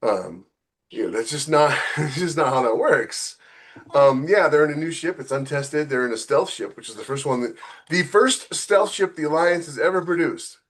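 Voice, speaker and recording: A man's voice: fairly high pitch, expressive, normal speed, a bit bright. Casual, wry male author co-hosting a podcast. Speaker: novelist and podcast co-host.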